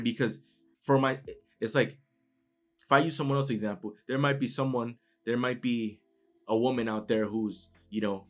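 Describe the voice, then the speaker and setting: Man, New York accent, lowish voice, motivational talk, conversational, frequent "right?", soft music underneath. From New York City. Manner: conversational, keeps adding "right?" tag questions. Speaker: motivational speaker.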